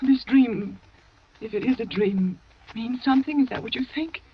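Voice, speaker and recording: An actress playing a frightened young wife haunted by nightmares, in 1940s radio drama style, trembling and breathless. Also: female voice, on the high side, normal speed, a little hiss or hum, close to an everyday voice. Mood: shaken. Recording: dull old radio broadcast.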